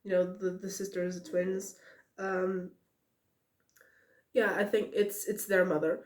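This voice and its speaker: A woman's voice: medium pitch, takes it slowly, reverberant. A young woman in a casual rambling monologue, giggling often and filling with many "uh"s and restarts. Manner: giggly, many fillers and restarts, excited asides. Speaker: podcaster talking about a book.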